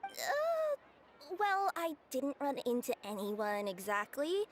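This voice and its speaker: Eager young cat-spirit courier girl: high voice, hesitant and apologetic, anime game with music underneath. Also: wildly swooping pitch, slow pace, slight background noise, heavily acted. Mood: evasive. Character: young female cat yokai courier, earnest, flustered, eager to please. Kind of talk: anime-style video game voice acting.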